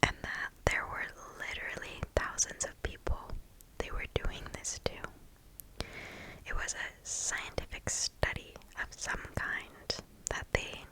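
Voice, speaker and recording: A woman reading a story aloud for ASMR in a hushed, unvoiced whisper, deliberate and very close to the microphone. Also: rough recording. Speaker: ASMR host.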